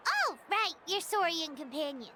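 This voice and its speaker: A woman voicing a tiny, excitable, childlike fairy companion in an anime game, with a squeaky voice. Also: normal speed, noisy background. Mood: curious. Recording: music behind.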